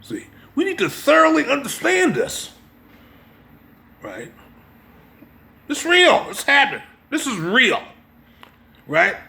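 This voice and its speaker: Male American preacher, forceful bright tone with creaky edge, urgent exhortations, off-the-cuff teaching. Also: very high-pitched, wildly swooping pitch, slow, pauses often. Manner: emphatic, exhortative, repeatedly checking listeners understand. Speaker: religious teacher. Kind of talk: impromptu sermon with brief reading.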